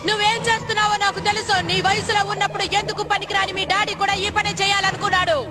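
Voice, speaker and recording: Casting: cranky old lady, scolding, very high-pitched, over loud cartoon music. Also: level tone, rapid-fire, over a noisy crowd. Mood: angry shouting. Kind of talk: Telugu-dubbed cartoon acting.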